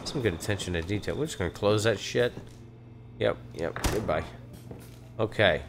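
A hoarse man with a Texan accent, chatting nervously and muttering as he plays a horror game, swearing at the scares. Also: lowish voice, normal speed, over music. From Texas. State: hoarse from allergies. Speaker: let's play gamer.

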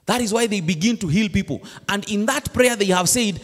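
A man's voice: high voice, brisk. A man with a Kenyan accent preaching a sermon in a church, switching between English and Swahili slang. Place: church hall. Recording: long church reverb.

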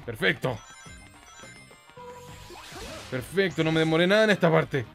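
A young man hosts a gameplay video with casual, sweary commentary. His voice is fairly high and jumps excitably in pitch. Some game music runs underneath. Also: fast, vocal fry. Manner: excitable, foul-mouthed.

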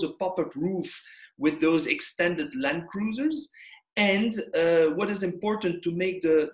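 A man, a tour leader from Belgium raised in the Congo, walks viewers through a travel itinerary over a slideshow. His voice sits a little high for a man, and he pauses often with ums and uhs as he goes. The webcam sound carries a roomy echo. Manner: hesitant, many fillers. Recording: echoey room on webcam.

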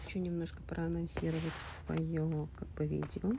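A woman who is a little under the weather talks through a casual unboxing vlog. She talks quietly and chattily, with many fillers, and often drops into a breathy half-whisper. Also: sits low, level tone, moderate pace. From Russian-speaking, living in the US. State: slightly ill, speaking softly. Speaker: vlogger. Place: home, indoors. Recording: loud background noise.

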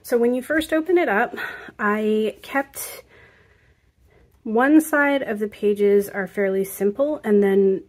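A woman calmly narrating a walkthrough of a handmade album, explaining each step with fillers. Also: medium pitch, slow. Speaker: craft video creator. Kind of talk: casual craft walkthrough narration.

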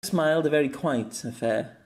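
A man's voice: on the high side, fairly quick. A man reading a scripted fantasy story aloud as an audiobook narrator.

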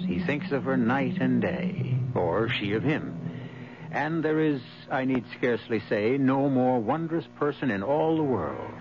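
A man hosting a radio mystery drama with a scripted introduction, measured, formal and wry. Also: mid-range voice, dull audio. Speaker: host. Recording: band-limited 1970s radio recording.

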